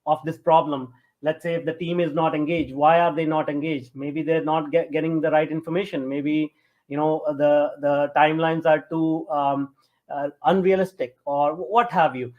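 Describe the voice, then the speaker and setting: A man from Punjab with a North Indian accent, answering as an interview guest in a halting, conversational way with many fillers. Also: fairly high pitch, fairly even intonation, dull audio. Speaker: interview guest, tech entrepreneur.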